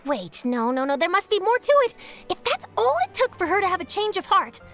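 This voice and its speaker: A woman playing an eager young female news reporter in a video game, brisk and nosy with rapid questions. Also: very high-pitched, sing-song, a bit bright, full character voice. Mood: probing. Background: game music.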